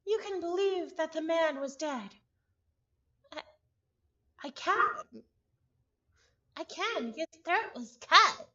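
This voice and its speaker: Very high, bright-voiced woman reading aloud. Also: expressive, slow pace, pauses often, lo-fi sound. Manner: stumbles over words and repeats them. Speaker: visual novel reader, streamer. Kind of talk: live read-aloud of a story with casual asides.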